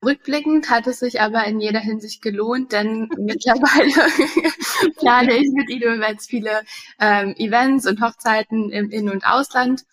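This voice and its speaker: Relaxed woman guest from Berlin. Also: fairly high pitch, normal speed. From Berlin, Germany. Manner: relaxed, with many fillers and light laughs. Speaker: guest, wedding agency owner. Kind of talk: podcast interview.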